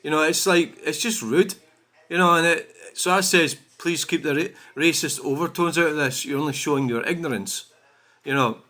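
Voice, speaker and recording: A Scottish man rants on his own channel in a bright, forceful voice. He talks indignantly and swears freely, padding his lines with "you know" and "I mean" as he picks apart viewer comments. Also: on the high side, normal speed. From Scotland. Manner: indignant, profane, full of fillers like "you know". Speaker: channel host.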